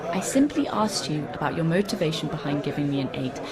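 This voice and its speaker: An actress as a young woman with a lowish voice that swings with expression, heard over busy crowd chatter. She is pedantic and coolly bossy, pressing each question precisely in a comic radio play. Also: sing-song, fast. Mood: probing, pedantic. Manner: precise, methodical, persistent questioning. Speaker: actor in a short comedy play. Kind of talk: comic two-hander radio drama dialogue.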